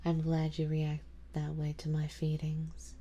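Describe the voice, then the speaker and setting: Woman doing a genteel lady vampire, breathy asmr whisper, low and slow, doting and possessive. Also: monotone, exaggerated voice. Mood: tender, possessive. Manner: soft, coaxing, genteel, indulgent.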